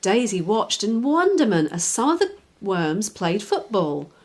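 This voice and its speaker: Animated woman reading a children's story. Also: medium pitch, unhurried. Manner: expressive, clearly phrased for children. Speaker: children's author, reader. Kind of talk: scripted storybook narration.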